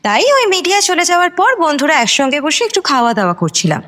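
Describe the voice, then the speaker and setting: A high-voiced, sassy young stylist. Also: female voice, animated, quick pace, fairly bright tone. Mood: matter-of-fact. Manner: sassy, impatient, mixes in English words. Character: brash, smart young female celebrity stylist, go-getter. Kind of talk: radio drama acting.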